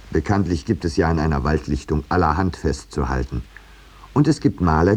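An actor playing a courteous, wry landscape painter in a 1950s radio play, dry and ironic, with some hesitation. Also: male voice, very deep voice, darkish tone, slightly rough, heavily acted. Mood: wry narrating. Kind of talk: 1950s German radio play, narration and dialogue.

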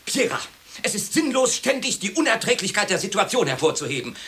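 An actor playing an exasperated, histrionic husband in a theatrical radio comedy. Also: male voice, sits high, big pitch swings, brisk, sharp tone, full character voice. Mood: agitated, arguing. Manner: overwrought, emphatic, swinging delivery.

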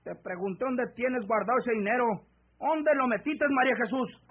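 Greedy bullying country husband, rural northern Mexican accent, very high husky voice, wheedling, old radio serial. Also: male voice, moderate pace. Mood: pushy, aggrieved. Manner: rustic, insistent, pressing speech. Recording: dull vintage AM radio drama recording.

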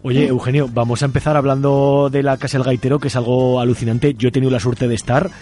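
A man's voice: mid-range voice, level tone, fast talker, warm tone. A man with a Spanish accent from Aragon hosting a casual radio interview in an informal, colloquial way. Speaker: radio show host.

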